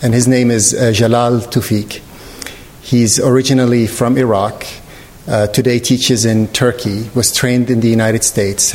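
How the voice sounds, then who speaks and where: A man from Lebanon speaks as an artist presenting his work in a large hall with long reverb. His mid-pitched voice is measured and hesitant, searching for careful, formal phrasing as he reflects aloud. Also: a little mellow, a little hiss or hum. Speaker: visual artist giving a lecture. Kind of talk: reflective public artist talk.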